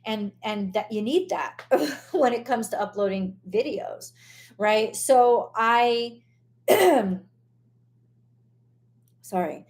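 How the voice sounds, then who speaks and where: A hoarse woman hosting a live tarot stream, talking casually and bluntly, swearing and losing her train of thought as she vents. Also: fairly high pitch, slow, long pauses, darkish tone.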